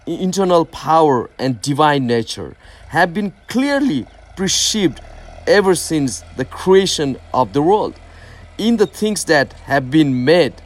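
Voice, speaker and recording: A man from Nepal with a Nepali accent, a native Nepali speaker reading scripture very slowly and stumbling over hard words. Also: a little high, no room sound.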